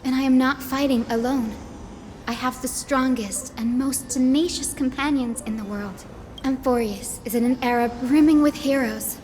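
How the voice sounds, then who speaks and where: A woman playing a gentle, earnest young female healer in a video game, speaking in a solemn, polite and formal manner. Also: high voice, fairly even intonation, normal speed, slight background noise, lightly acted. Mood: resolute. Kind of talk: scripted video game voice acting. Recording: game audio with background music. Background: music.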